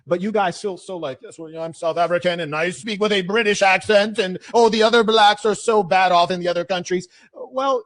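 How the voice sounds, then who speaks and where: A man debating hotly, lecturing and combative, his voice dropping low and then rising to shouts. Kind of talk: heated debate.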